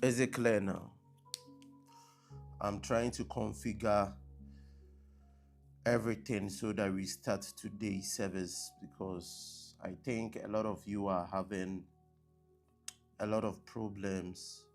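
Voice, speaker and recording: A man preaching on a live stream who repeats his blessings and keeps asking viewers whether he can be heard and seen clearly. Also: on the low side, steady tone, slow pace, long pauses, faint music behind. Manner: repetitive, checking with online viewers. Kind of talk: live-streamed sermon opening. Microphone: laptop mic on a live stream.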